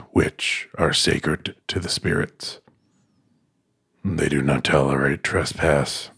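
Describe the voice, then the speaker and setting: Huge, husky, very deep solemn brawler. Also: male voice, fairly bright tone, dry recording, studio quality, full character voice. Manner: halting, solemn delivery.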